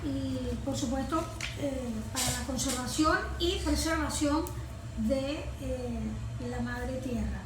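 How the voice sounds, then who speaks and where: High-voiced Venezuelan woman, halting, echoey room. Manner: halting, searching for words, repeating phrases. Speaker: environmental consultant and forestry engineer being interviewed. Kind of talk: interview answers, explanatory talk. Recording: echoey room with clear background noise.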